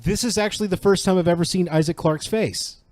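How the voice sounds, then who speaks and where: Guy with a slightly high voice chatting on a podcast, informal, bit sweary, dry audio. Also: fast, a little mellow, no echo. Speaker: podcast panelist. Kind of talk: casual chat.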